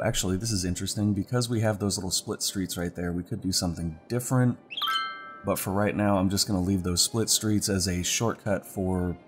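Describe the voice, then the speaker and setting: A man with a very low voice and a stuffy, congested sound talks through his gameplay in a relaxed, thinking-aloud way, often repeating single words as he clicks. Clear background music plays under his voice the whole time. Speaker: let's play host. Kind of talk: casual gameplay commentary.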